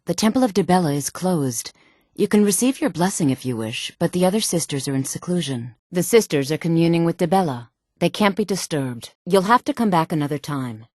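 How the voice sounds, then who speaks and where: A woman's voice: dry recording, clean studio sound. An actress playing a sultry, hard-edged, guarded woman in a fantasy video game, with cool, pointed delivery. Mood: calm, dismissive. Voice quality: sultry, low-pitched. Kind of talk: video game character voice lines.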